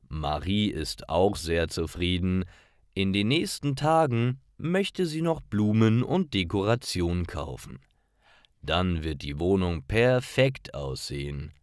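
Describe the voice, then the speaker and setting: Very deep, slow male narrator. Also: dry recording, clean studio sound. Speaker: narrator of stories for language learners. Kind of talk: scripted narration, slow read-aloud learner stories.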